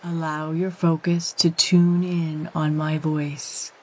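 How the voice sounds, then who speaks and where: A woman guiding a yoga nidra meditation, speaking in a calm, gentle, soothing way with measured phrases and long pauses. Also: low-pitched. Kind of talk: guided meditation, slow and soothing instruction.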